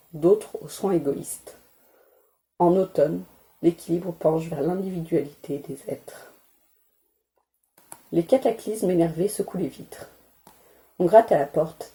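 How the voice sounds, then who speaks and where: A woman softly reading poetry aloud in a calm, deliberate ASMR style, spoken rather than whispered. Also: lowish voice. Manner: measured, deliberate, soothing delivery. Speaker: ASMR creator reading poems.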